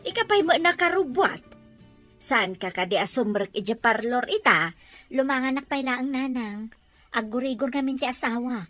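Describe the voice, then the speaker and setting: Lady doing a caring young wife, high voice, talks brisk, soft music behind. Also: animated. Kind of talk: audio drama acting.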